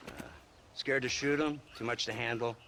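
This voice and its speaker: A man's voice: mid-range voice, steady tone, normal speed, pushed and bright, slight background noise, close to an everyday voice. A man playing a smug, condescending government agent of the old West in a video game cutscene. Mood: mocking. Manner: sardonic, condescending.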